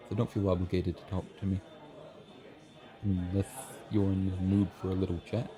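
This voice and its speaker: A man playing a shy, friendly young writer in an ASMR roleplay, chatting bashfully and earnestly, a little hesitant. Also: sits low. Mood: shy, apologetic. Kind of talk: ASMR roleplay, soft conversational acting. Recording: busy café crowd murmur behind. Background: café crowd chatter.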